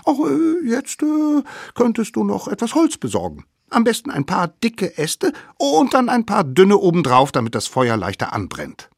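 A man voicing a group of cheeky, scheming little cave kids, in a high, sly and wheedling voice. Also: wildly swooping pitch, moderate pace, vocal fry, few pauses, slightly rough, no echo, clean studio sound, full character voice.

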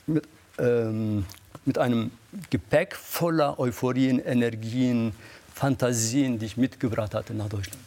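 Gravelly man telling an emotional story. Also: mid-range voice, unhurried, warm tone. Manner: emotional, enthusiastic recounting. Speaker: athlete guest. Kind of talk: talk-show interview.

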